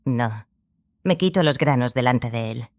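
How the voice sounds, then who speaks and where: A woman playing a composed, stern sorceress who instructs her pupil in a fantasy audiobook, measured and authoritative. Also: a bass voice, expressive, a little mellow, no echo, heavily acted. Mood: dry, cautioning.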